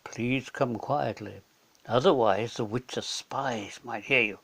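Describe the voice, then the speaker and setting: Man putting on a wary, low-voiced male beaver. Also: slow pace, creaky, fairly bright tone, full character voice. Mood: secretive. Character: cautious male beaver, secretive woodland guide. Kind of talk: children's storybook character voice.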